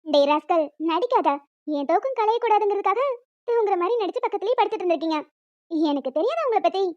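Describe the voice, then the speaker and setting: A woman acts out a young cartoon wife with an extremely high, quick voice. She scolds and teases in playful banter. The sound is very dry and close. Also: lively intonation, a little mellow, dry recording, lo-fi sound. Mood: mock scolding. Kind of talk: cartoon relationship drama dialogue.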